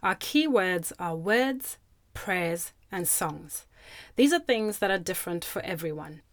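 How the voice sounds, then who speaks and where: A woman's voice: lowish voice, measured pace, no room sound. Cheerful woman, dry close sound. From Zimbabwe. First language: Shona. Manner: cheerful, expressive storytelling. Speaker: presenter. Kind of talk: scripted narration for children.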